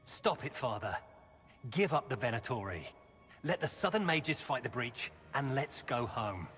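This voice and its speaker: Dying son: husky, tired young man, pleading; hall reverb, faint music. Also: mid-range voice, big pitch swings, measured pace, a bit bright, full character voice.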